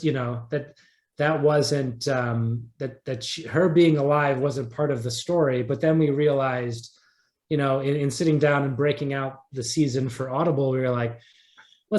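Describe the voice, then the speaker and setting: A man speaking as an interview guest, chatting casually and thinking aloud in halting, repeated phrases. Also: mid-range voice, a little mellow. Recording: echoey, roomy sound.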